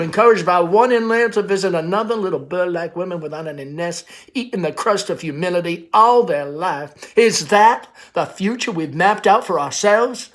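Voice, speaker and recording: A man's voice: high voice, a bit bright. A man voicing a domineering, anxious middle-aged Southern mother in an emphatic, theatrical stage play reading.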